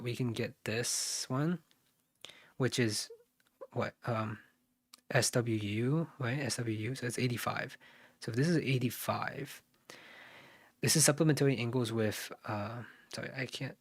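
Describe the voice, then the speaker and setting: A man's voice: mid-range voice, no echo, clean studio sound. Man muttering through math problems. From United States. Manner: muttering, self-correcting, thinking aloud. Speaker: SAT tutor streaming live. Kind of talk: live think-aloud math walkthrough.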